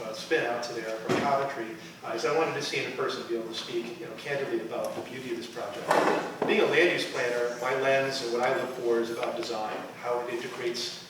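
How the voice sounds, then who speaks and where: A man, a town planning director, giving an earnest awards-night speech full of fillers. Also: medium pitch. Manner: brisk, earnest, with many fillers. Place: banquet room at an awards dinner. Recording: distant echoey hall with noise.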